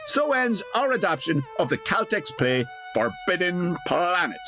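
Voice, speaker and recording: A male narrator with a wry, theatrical delivery. His fairly high voice swoops dramatically through scripted comic lines over loud music. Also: big pitch swings, normal speed. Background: musical score.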